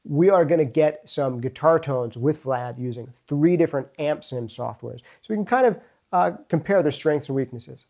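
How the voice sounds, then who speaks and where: A man's voice: on the high side, normal speed, vocal fry, hardly any pauses, warm tone. A man presenting and interviewing in a casual way in a home studio. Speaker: interviewer and host. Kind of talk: casual gear-video presenting.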